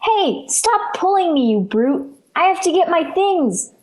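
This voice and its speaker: High-pitched, cheeky American little girl. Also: very animated, unhurried, a little mellow, heavily acted, poor audio. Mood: indignant. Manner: pert, mischievous. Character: cheeky, bratty little girl, youngest daughter. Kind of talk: 1940s radio sitcom acting. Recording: vintage 1940s radio recording.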